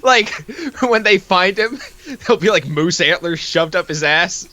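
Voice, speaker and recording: Man from Canada, lively intonation, unhurried, profane jokey co-host, casual chat, dry close sound. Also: high-pitched, slight background noise. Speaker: co-host of a comic read-along.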